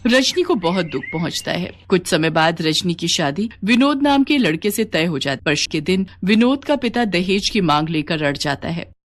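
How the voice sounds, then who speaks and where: A woman's voice: on the low side, lively intonation, moderate pace, few pauses. A woman narrating a scripted moral story as a storyteller.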